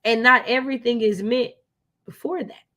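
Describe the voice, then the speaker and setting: A woman's voice: a little high, fairly even intonation, moderate pace, bright, forceful tone. An American woman chatting casually about books as a co-host, opinionated and emphatic, often repeating phrases to drive a point home. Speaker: co-host of a book readalong live discussion. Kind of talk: casual, opinionated book chat.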